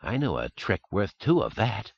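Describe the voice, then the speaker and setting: A man's voice: on the low side, huge pitch swings, normal speed, husky, no echo, muffled recording, full character voice. A man voicing a taunting man chanting a mocking rhyme in a sing-song.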